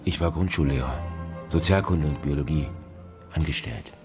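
An actor playing a reserved, uneasy male former schoolteacher in a radio drama, speaking in halting, evasive phrases. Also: very deep voice, over music. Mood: guarded, resigned. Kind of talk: naturalistic German radio drama dialogue.

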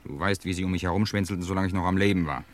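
A man's voice: deep voice, very fast, lightly acted. An actor playing a tense, cynical man living under a false identity in an old-style crime radio play, speaking with urgency and bitterness. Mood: bitter, agitated. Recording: music behind the voice.

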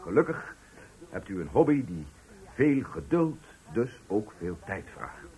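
A man's voice: fairly low pitch, sing-song, measured pace, mellow, slightly rough, exaggerated voice, low-quality recording. A man playing a pompous, patronizing company director, speaking with unctuous ceremony in a Dutch radio play. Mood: pompous, ceremonious. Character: pompous, patronizing male company director giving farewell speech. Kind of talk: Dutch radio play, formal speech acting.

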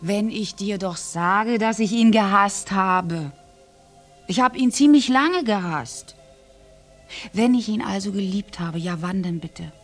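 An actress playing a bitter, war-scarred orphaned young woman in a radio play monologue, halting and intense. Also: mid-range voice. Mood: bitter, hateful. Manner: halting, intense, dropping into breathy whispers. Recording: music behind the voice. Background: music.

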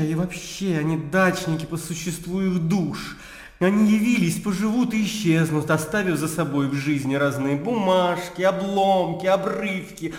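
An actor playing an earnest, scornful idealist intellectual of old Russia in a radio drama, speaking in a declamatory way. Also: male voice, mid-range voice, darkish tone, natural voice. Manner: declamatory, impassioned speechifying. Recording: echoey hall-like reverb.

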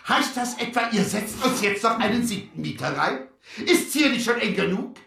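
An actress playing an agitated, indignant household member who fires off questions in a radio drama. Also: female voice, a little high, very animated, normal speed, gravelly, a bit bright, full character voice. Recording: distant, echoey room, faint noise.

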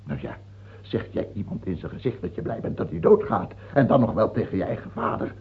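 An actor playing a sick, paranoid, self-pitying old king in a radio drama, with a husky, gravelly voice and a theatrical, halting delivery. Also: fairly low pitch, animated, exaggerated voice. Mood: furious. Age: older. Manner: theatrical, halting, swinging between whining and outbursts. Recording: dull, muffled old radio recording.

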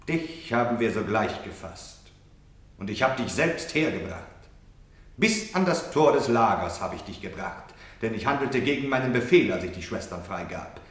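An actor playing a cold, scornful Nazi officer who harangues and threatens a prisoner in a radio play. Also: male voice, mid-range voice, a bit bright, close to an everyday voice. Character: cold, menacing male Nazi officer taunting his prisoner. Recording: echoey, reverberant room.

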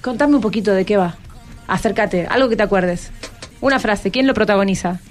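A woman's voice: mid-range voice, fairly quick, soft background music. An Argentine woman hosting a radio interview in a studio, chatting informally and coaxing her guests along with questions. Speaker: radio host interviewing school students. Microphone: studio microphone.

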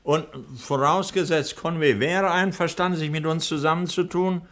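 A man's voice: on the high side, steady tone, moderate pace, fairly bright tone, natural voice. A man playing a vain, menacing surgeon and gang boss in a 1960s German radio crime drama, savouring his words. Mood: scheming, gloating.

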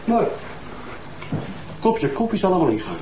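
An actor playing a matter-of-fact police detective who searches a house. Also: male voice, sits high, sing-song, moderate pace, noisy background. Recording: roomy, distant TV soundtrack with music.

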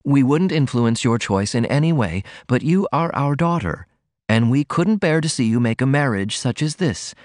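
A man reading aloud, voicing a proper middle-aged mother who speaks in measured, disapproving tones. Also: mid-range voice, animated, no room sound, clean studio sound, pitched above the performer's own voice, lightly acted. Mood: stern.

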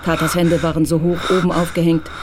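A narrator telling a crime radio play in even, measured, scripted narration. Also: medium pitch, background noise.

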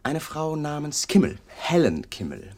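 Radio play: probing police detective, husky voice with a lively, rising-and-falling delivery, dogged and polite. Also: male voice, a little high, measured pace. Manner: calm, persistent, politely needling questions. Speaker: detective questioning a widower. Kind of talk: 1980s German radio drama acting.

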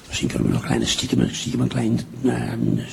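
A man's voice: mid-range voice, monotone, a little fast, vocal fry, a little mellow, breathy edge, full character voice. An actor playing a mischievous elderly father who rambles, repeats himself and hesitates, in an improvised radio drama. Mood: hesitant, curious.

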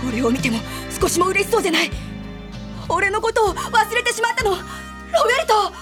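Earnest high-pitched boy soccer hero. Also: big pitch swings, fairly quick, over music. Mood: hurt, pleading. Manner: earnest, intense, dramatic. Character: earnest, determined young boy soccer ace. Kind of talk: anime voice acting.

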